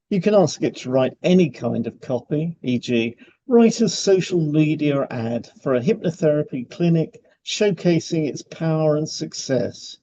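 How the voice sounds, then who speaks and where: A man's voice: mid-range voice, mellow. A man co-hosting a podcast, reading explanatory material with chatty asides. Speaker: podcast co-host, hypnotherapist. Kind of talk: scripted podcast narration with informal asides. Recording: slightly dull, lo-fi sound.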